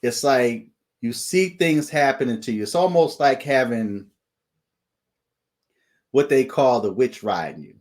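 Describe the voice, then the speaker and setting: A man speaks to his channel in an unhurried, preaching cadence. His voice sits at a middling pitch, and he stretches his words out slowly, stopping often to ask "okay?" before going on. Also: animated, darkish tone. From United States. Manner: deliberate, repeats "okay?" as a check-in. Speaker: channel host sharing dreams and visions. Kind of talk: slow preaching monologue.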